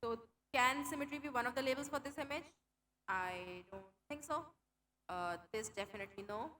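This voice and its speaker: Bright high-voiced Indian English woman presenter. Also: normal speed, slight background noise. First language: Hindi. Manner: explanatory, frequent fillers and restarts. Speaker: conference speaker. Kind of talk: tech talk with live demo. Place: conference hall.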